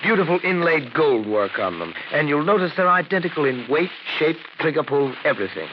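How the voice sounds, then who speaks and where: An actor in a 1940s radio drama playing a genial, self-satisfied, wealthy art collector with a boastful, knowing way of talking. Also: male voice, on the high side, sing-song, brisk. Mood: genial, proud. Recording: muffled, noisy 1940s radio recording.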